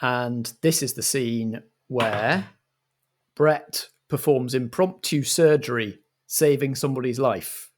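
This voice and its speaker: Unhurried man running a roleplay. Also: mid-range voice. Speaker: game master. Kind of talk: measured scene-setting for improvised roleplay.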